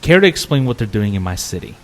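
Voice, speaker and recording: A man's voice: on the low side, big pitch swings, fast talker. A man playing a suspicious, foul-mouthed city protector in an audio drama, confrontational and sneering. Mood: hostile, threatening. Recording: clear background noise, ambience.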